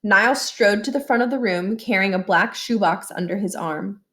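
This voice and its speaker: A woman's voice: medium pitch, normal speed, a bit bright. A woman reads a children's book aloud in a storytelling style and acts out the dialogue. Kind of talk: read-aloud storytelling with brief explanatory asides.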